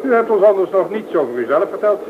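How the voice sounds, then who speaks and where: A man's voice: sits high, big pitch swings, quick pace. An actor playing an irritable, sarcastic businessman in a radio drama, prickly and curt. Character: irritable, sarcastic businessman, a textile factory owner. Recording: noisy, thin, phone-like radio play recording.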